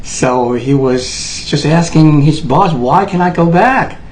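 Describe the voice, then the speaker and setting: Earnest Taiwanese man, halting speech. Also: a little high, a little mellow, slight background noise. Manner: earnest, halting, many fillers and restarts. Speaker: speaker addressing Americans. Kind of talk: unscripted personal address to camera.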